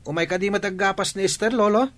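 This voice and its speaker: An actor playing a carefree, cheeky young student who talks flippantly and defensively in a radio drama. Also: male voice, high-pitched, quick pace, a bit bright. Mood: flippant, protesting. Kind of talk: regional radio drama acting.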